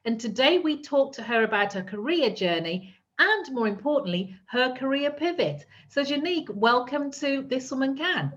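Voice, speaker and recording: A woman's voice: high-pitched, sing-song, moderate pace, few pauses, fairly bright tone. A woman hosting an interview, introducing her guest and encouraging her with brief responses. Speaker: host. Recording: slightly muffled audio.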